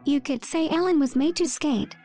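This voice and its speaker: A woman's voice: high-pitched, normal speed, mellow. A woman doing a scripted voice-over, reading short captions and jokes aloud as a narrator. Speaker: meme video narrator. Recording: faint music behind the voice. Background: faint music.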